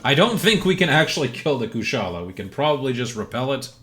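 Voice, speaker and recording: A man with a low, bassy voice rambling casually as he streams a video game live. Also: big pitch swings, quick pace, fairly bright tone. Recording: music behind the voice. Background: game music.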